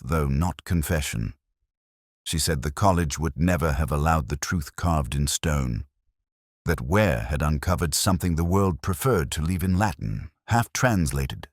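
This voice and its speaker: An extremely deep voice from a male actor tells a detective story as a brooding inspector. The delivery is solemn and measured, yet the pitch moves expressively through each reflective line. The sound is dry and close, like an audio drama narration. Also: dry recording, full character voice. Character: brooding male English police inspector narrating his investigation. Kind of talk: literary first-person scripted narration.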